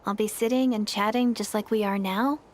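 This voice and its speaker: A woman's voice: medium pitch, steady tone, brisk, darkish tone, natural voice. An actress playing an earnest, dreamy young village woman adventurer in a video game, speaking sincerely. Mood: determined. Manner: sincere, wistful storytelling. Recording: music playing behind.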